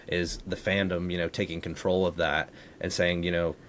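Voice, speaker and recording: A man with a low voice and a very flat, even delivery chats as a podcast host. He rambles conversationally through his thoughts, with a faint rumbling noise behind him. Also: normal speed. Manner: rambling, conversational, frequent "you know". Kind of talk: casual chat.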